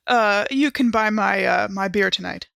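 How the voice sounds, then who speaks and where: Woman playing Gigi, bright, creaky, wry traveller. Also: mid-range voice, moderate pace, dry recording, clean studio sound. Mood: wry, playful. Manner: wry, playful. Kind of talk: improvised role-play.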